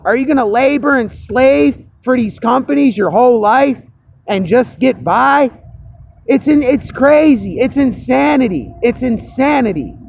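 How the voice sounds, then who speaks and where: A hoarse man with a cracking voice ranting angrily to camera, repeating himself and swearing. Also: very high-pitched. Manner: fired-up, repetitive, swearing. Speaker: vlogger. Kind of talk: angry personal rant to camera.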